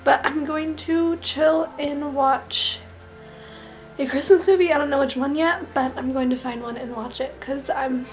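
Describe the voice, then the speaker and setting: Twenties woman, high, slightly raspy voice, chatty vlogger, drifts into whispers. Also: steady tone, unhurried, faint music behind. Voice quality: raspy, throat not clear. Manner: chatty, gushing, drops to whispers while doing makeup. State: recovering from illness, clearing throat. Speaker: beauty vlogger. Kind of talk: casual vlog chat. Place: small bedroom, indoors. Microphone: camera mic, close framing.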